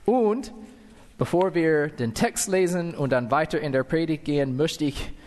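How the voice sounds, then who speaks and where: A man's voice: on the high side, a little mellow. An American man with English as his first tongue preaches in a church hall, taking his time over every phrase. His voice carries a long reverb from the room, and he stops now and then to correct himself. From United States. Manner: careful, deliberate, with fillers and self-corrections. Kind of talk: sermon with scripture reading.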